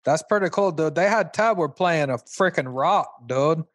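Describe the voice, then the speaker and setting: A man's voice: on the high side, expressive, normal speed, dry recording, natural voice. A man playing an easygoing, enthusiastic slacker host who talks like a laid-back dude in a comedy radio interview. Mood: chummy, boastful. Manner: laid-back surfer-dude talk, constant "dude". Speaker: talk show host.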